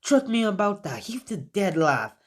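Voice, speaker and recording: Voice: mid-range voice, big pitch swings, normal speed, voice pushed up. A narrator voicing a haughty, scornful teenage girl ninja in a sneering tone in a fan-made story. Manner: sneering, dismissive.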